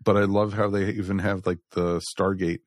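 A man's voice: low-pitched, level tone, normal speed, no room sound, clean studio sound. A man chatting casually and agreeably as a panelist on a review podcast.

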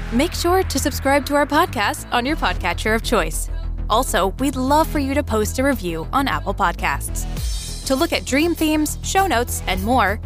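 A woman reading a scripted podcast outro in a bright, lively way. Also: sits high. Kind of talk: scripted announcement. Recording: read over background music.

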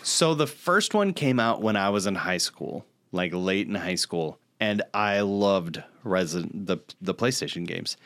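A man's voice: sits low, lively intonation, unhurried, few pauses, fairly bright tone, dry recording. A man co-hosting a podcast in casual chat, with a joking, self-deprecating manner. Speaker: podcast co-host.

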